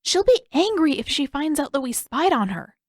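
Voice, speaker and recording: A woman's voice: sits high, sing-song, moderate pace, no echo, clean studio sound, voice pushed up. A woman voicing a mild, hesitant, skeptical young boy in an audiobook, with a light, tentative delivery. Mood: doubtful. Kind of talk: acted audiobook dialogue.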